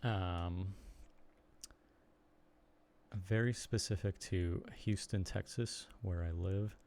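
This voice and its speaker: A young man with a Chicago accent, chatting casually and haltingly to viewers on a live stream from his computer desk. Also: low voice, fairly even intonation, darkish tone. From Chicago area, United States. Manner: rambling, halting, lots of ums and restarts. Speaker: streamer and blogger.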